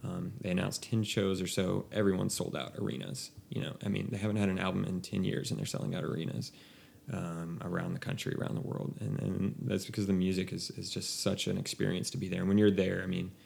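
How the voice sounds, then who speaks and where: A man's voice: deep voice, level tone, brisk, vocal fry, few pauses, darkish tone, a little hiss or hum. A young man chatting casually about music on a podcast, rambling with many restarts. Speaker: podcast co-host.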